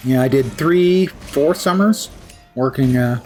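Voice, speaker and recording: Brief: casual male streamer, mid-pitched, wry self-mocking chatter, faint music behind. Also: slow, darkish tone. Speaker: livestreamer.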